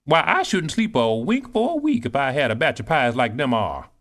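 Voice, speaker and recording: A man playing a bossy older cook. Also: normal speed. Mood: boastful. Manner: animated, scolding, swoops high. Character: proud older woman cook, bustling and boastful. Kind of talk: audiobook character reading.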